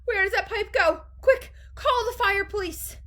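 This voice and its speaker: Frantic, domineering mother for a children's story, played by a woman: very high, forceful, screaming and snapping. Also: measured pace, full character voice. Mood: panicked. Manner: screaming, shrieking, snapping indignantly. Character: frantic, shrill, bossy mother of a boy.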